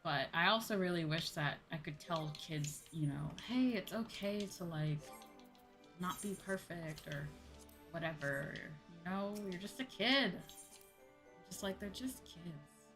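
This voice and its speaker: A woman's voice: lowish voice, measured pace, a bit bright, faint music behind. A woman streamer talking casually and conversationally in a livestream chat. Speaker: VTuber streamer.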